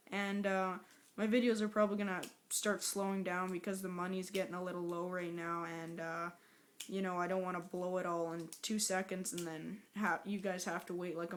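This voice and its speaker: Hoarse, flat-voiced woman rambling through a review. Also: lowish voice, a little hiss or hum. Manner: rambling, chatty, self-aware. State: hoarse, scratchy from a sore throat. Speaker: product reviewer. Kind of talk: casual unboxing review.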